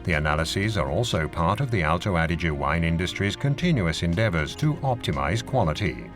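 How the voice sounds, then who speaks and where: Deep-voiced male documentary narrator over music. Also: expressive. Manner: measured, formal, informative delivery. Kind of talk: scripted narration, educational film. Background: music behind the voice.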